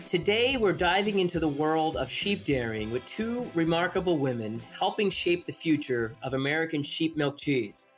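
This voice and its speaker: A man's voice: a little high, animated, normal speed, few pauses, soft background music. A man hosting an interview podcast, talking chattily and often stumbling and restarting his sentences. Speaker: podcast host. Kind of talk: hosted interview.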